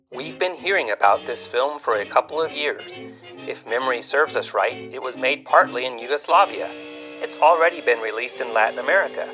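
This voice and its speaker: A man's voice: medium pitch, a bit bright. A man presenting a scripted podcast review segment in a theatrical, tongue-in-cheek way, posing rhetorical questions. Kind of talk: scripted magazine-review narration. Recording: music bed under the voice. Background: guitar music.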